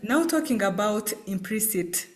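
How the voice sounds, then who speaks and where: A woman from Rwanda presents to a class at an unhurried pace. She stops often on filler sounds as she works through her points. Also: medium pitch, animated, soft background music. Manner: slow with frequent "uh" fillers. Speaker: student presenter.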